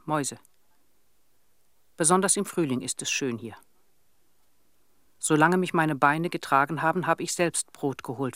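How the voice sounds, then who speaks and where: A woman's voice: low voice, no echo. Old countrywoman, sorrowful, lonely, reflective, plain-spoken, radio drama monologue, dry sound. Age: older. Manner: plain, reflective storytelling in short sentences.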